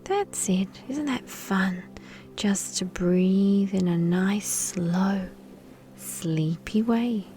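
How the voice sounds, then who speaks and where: A woman speaking in a soft, breathy half-whisper, soothing and gentle, guiding children through a sleep meditation and bedtime story with lulling pauses. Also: medium pitch. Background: soft background music.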